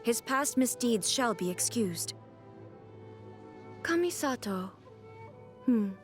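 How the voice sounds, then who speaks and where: An actress voicing a regal, solemn female deity of thunder and eternity for a video game, speaking in a formal, stately, measured way. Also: on the high side, big pitch swings, soft background music. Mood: stately, composed. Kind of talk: scripted video game voice lines.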